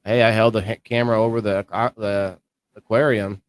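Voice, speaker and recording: A man with a low, dry, close-sounding voice, chatting casually on a livestream about indoor growing. His delivery is low-key and fairly monotone, even when he tries to lift the energy. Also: brisk, dry recording. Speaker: co-host of a live-streamed indoor growing show.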